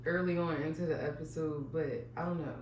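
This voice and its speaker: A woman's voice: deep voice, steady tone, brisk, a little mellow. Chatty woman podcast host. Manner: chatty, jumps between topics, frequent "mm-hmm"s. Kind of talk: casual chat. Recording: echoey room, mic at a distance, faint noise.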